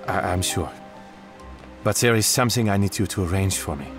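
A man's voice: deep voice, brisk, over music, lightly acted. An actor playing an anxious, secretive French father in an audio drama, hesitant and guarded. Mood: determined, tense.

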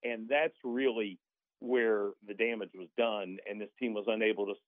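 A man's voice: lowish voice, steady tone, normal speed, no echo. A man, a sports announcer appearing as a guest, talking through basketball analysis in a talkative, conversational way with folksy, emphatic asides. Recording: tinny phone-like line.

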